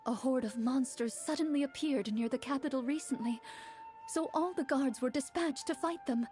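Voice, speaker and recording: A woman's voice: high-pitched, normal speed, a little mellow, soft background music, close to an everyday voice. A woman voicing a young game character who narrates a role-play scene and plays a troubled wife, hesitant and flustered.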